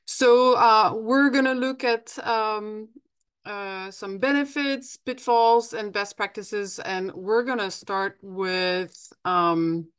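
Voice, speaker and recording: A woman's voice: medium pitch, steady tone, slow pace, clear, resonant tone, dry recording, studio quality. A woman from France with a French accent, giving a friendly, practical presentation over a video call with plenty of fillers and restarts. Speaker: guest presenter.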